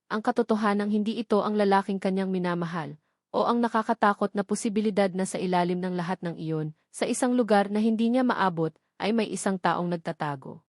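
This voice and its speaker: A woman narrating a scripted romance story in a measured, melodramatic way. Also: medium pitch, darkish tone, no echo, clean studio sound.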